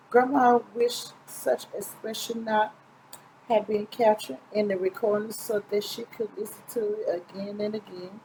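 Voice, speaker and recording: A woman's voice: fairly high pitch, mellow, slight background noise, poor audio. A middle-aged woman reads a children's picture book aloud informally, stumbling now and then and correcting herself. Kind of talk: storybook read-aloud narration. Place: small indoor room, seated close to the camera.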